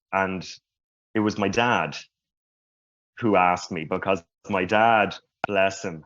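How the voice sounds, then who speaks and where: A man who stutters giving a slow, reflective personal talk at a conference. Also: low-pitched, a bit bright. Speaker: conference speaker. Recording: muffled lo-fi sound.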